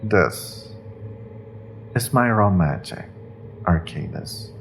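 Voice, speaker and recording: A man playing a cruel rogue sorcerer in a fantasy audio drama, taunting a captive with mock politeness, often dropping toward a breathy whisper. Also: low voice, lively intonation, a little hiss or hum, heavily acted. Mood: cold intimidation. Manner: slow, taunting, mock-polite, savoring threats with pauses. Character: cruel, menacing rogue sorcerer, male captor taunting his prisoner.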